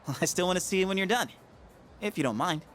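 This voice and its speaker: An easygoing teenage boy in a video game. He sounds friendly but awkward and hesitant, with a fairly high voice that moves around a lot, over background music. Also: expressive, quick pace, a bit bright. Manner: hesitant, casual. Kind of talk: English video game dub acting, casual dialogue.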